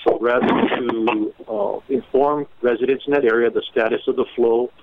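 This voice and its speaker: A man giving a measured, halting update as an official at a media briefing, with many fillers and restarts. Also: medium pitch, fairly even intonation, creaky, no echo. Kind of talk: informal media briefing on a conference call. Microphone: phone. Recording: tinny phone line with faint noise.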